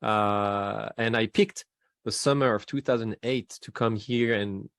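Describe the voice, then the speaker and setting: A man in his forties with a French accent, a guest in a casual interview who talks in halting bursts, restarting his sentences and laughing between thoughts. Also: lowish voice, fairly even intonation, clean tone, no room sound. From France.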